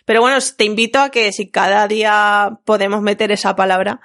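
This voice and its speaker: Spanish woman, kinda extremeño-andaluz accent, gravelly voice, laughs a lot while chatting on her podcast. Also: medium pitch, steady tone, fairly quick, fairly bright tone. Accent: Spanish, part Extremaduran, part Andalusian. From Castilla-La Mancha, Spain. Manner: chatty, laughs often, many restarts. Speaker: podcast co-host. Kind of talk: casual podcast chat.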